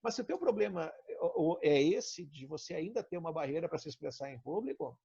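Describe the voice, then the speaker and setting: A man's voice: fairly high pitch, expressive, fairly quick, gravelly, mellow, slightly rough. A Brazilian man coaching in a conversational way on a live stream, explaining ideas and asking probing questions. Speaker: coach and trainer leading a live session. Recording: dull lo-fi online call audio.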